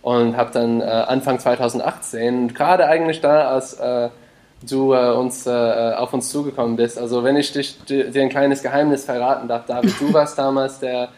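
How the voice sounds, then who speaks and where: A man's voice: medium pitch, fairly even intonation, moderate pace, darkish tone. Hesitant man. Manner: hesitant, many fillers and restarts, mixes in English words. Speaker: podcast guest, startup founder. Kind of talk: casual interview talk.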